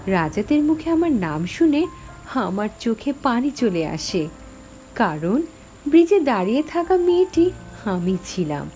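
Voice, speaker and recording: A woman's voice: on the high side, big pitch swings, a little slow, warm tone. A woman narrating a scripted horror story dramatically, in the first person as its heroine. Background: music.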